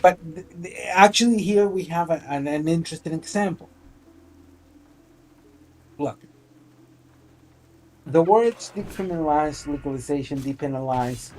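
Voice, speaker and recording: A man's voice: fairly high pitch, darkish tone, low-quality recording. A man in his forties debating informally, talking discursively with formal wording mixed with swearing. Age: 40s. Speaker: debate participant.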